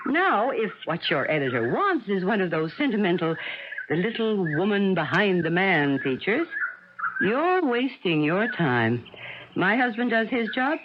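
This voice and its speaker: Casting: modest scientist's wife, middle-aged, brisk and polite, very animated intonation, dull old radio recording. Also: female voice, mid-range voice, background noise. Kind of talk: 1980 radio drama acting.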